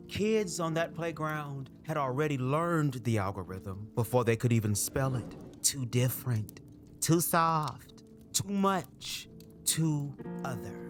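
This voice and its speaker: A spoken-word performer delivering a dramatic monologue in an emphatic, deliberate way, with short punchy phrases. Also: mid-range voice. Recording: voice over a clear music bed. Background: music.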